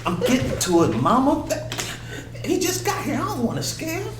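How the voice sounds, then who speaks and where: Man acting erratic tipsy uncle, wildly swinging pitch. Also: wildly swooping pitch, moderate pace, music in the background, full character voice. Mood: agitated aside. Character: disheveled, hard-drinking eccentric uncle who talks to his dead mother. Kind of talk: screenplay table read. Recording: echoey room, distant, faint noise.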